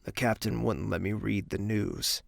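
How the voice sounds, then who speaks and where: An actor playing a weary, guarded young prince in an audio drama. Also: male voice, lowish voice, fairly even intonation, unhurried, gravelly, no room sound, clean studio sound. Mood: weary, wry. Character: wary, weary young prince recovering from confinement.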